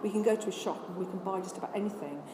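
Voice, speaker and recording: A woman's voice: mid-range voice, racing, noisy background. Chatty older woman in an echoey room. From Scotland. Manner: chatty, earnest, lists things off, many restarts. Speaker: interviewee, vegan charity worker. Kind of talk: casual interview answers.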